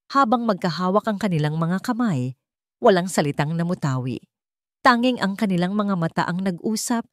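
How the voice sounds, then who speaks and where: A woman's voice: fairly low pitch, no room sound, studio quality. A woman reading a scripted dramatic story as its narrator.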